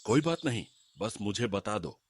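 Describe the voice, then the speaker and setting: A man voicing an elderly landlord father in a story cartoon, husky, measured and commanding. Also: on the low side, animated, no echo. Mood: welcoming.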